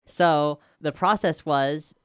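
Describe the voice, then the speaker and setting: Candid, clear voice in a dry panel chat. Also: medium pitch, slow pace, no echo. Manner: candid, self-deprecating. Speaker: showrunner, writer and player of an audio drama.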